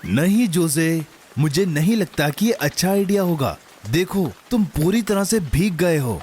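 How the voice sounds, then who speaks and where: An actor voicing a sensible, caring, cautious companion in a cartoon dub, expressive and gently admonishing. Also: mid-range voice, unhurried, a little hiss or hum, close to an everyday voice. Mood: concerned, cautioning. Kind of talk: cartoon dubbing. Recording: music bed under the voice.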